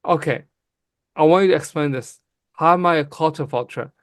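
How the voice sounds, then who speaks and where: A man raised in Canada whose first language is Somali, arguing emphatically and quickly in a heated livestream debate, often calling the other person bro. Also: fairly high pitch, fairly bright tone, no room sound, studio quality. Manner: emphatic, fast, calls people bro. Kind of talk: heated casual argument.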